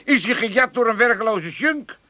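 A man's voice: very high voice, expressive, normal speed, bright, forceful tone, no echo, exaggerated voice. An actor playing a rambling, grumbling old grandfather with an Amsterdam accent in a radio comedy play. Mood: indignant. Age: older.

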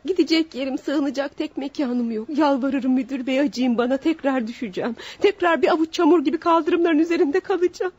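A woman's voice: high voice, brisk, hardly any pauses, a little mellow, no room sound, natural voice. An actress in a Turkish radio drama playing a desperate, humble young woman just freed from prison, pleading in a tearful, theatrical way. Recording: dull, noisy old radio recording.

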